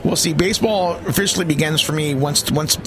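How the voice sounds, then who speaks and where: A man's voice: fairly high pitch, a little fast, noisy background. A man in his forties from the San Francisco Bay Area, giving a rambling, opinionated sports rundown on a podcast. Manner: rambling, opinionated, with many fillers. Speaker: sports segment co-host. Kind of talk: casual sports commentary.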